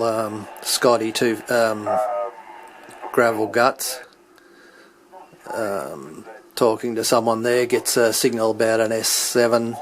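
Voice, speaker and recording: A middle-aged man giving an unscripted hobby demonstration, hesitant and halting as he searches for words. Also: fairly low pitch. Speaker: CB radio hobbyist demonstrating his equipment. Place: indoors at home beside radio equipment. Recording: clearly noisy home recording with radio static.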